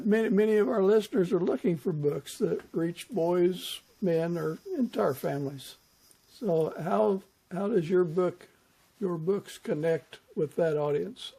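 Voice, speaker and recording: A man's voice: sits high, gravelly, mellow, slightly rough, low-quality recording. A man hosting a podcast, asking questions and chatting in a conversational interview style.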